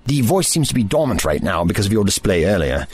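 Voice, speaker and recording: A man playing an eccentric, courteous investigator in an audio drama, calm and analytical, with a formal, polite manner. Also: fairly low pitch, slightly rough, soft background music. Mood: calm, explanatory. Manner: polite, formal, measured authority.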